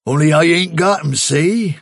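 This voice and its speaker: A man's voice: on the high side, unhurried, creaky, breathy edge, no room sound, studio quality, pitched above the performer's own voice, full character voice. A man voicing a cruel, taunting country man in a broad rural English dialect, reading a storybook. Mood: gloating. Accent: rural English dialect put on. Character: menacing rustic man, cruel and mocking.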